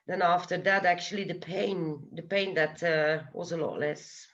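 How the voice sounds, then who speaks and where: A woman speaks earnestly on a video call, pausing and restarting as she recalls the details. Her voice is slightly low, and the audio comes through dull and lo-fi. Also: fairly even intonation. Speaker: guest giving a testimonial. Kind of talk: casual video call chat.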